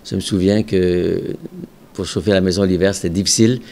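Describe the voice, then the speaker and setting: Calm older man, low mellow voice. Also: creaky. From Ontario, Canada. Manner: measured, reflective, with frequent hesitations. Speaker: school director and religious brother. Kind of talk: calm recollecting interview answers. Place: office seated at a desk. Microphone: desk microphone in front.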